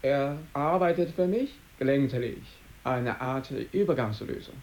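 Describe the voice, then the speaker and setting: An actor playing a polite, unruffled antiquarian bookseller in a radio drama, courteous and understated. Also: male voice, mid-range voice, expressive, a little slow, a little mellow, dull audio, natural voice. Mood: polite, composed. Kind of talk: German radio drama acting.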